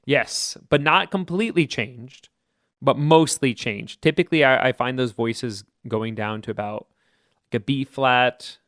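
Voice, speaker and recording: A man's voice: mid-range voice, unhurried, a bit bright, dry recording, studio quality. A man co-hosting a casual podcast chat, a choir teacher explaining in a thoughtful, hedging way with many "I would say" asides.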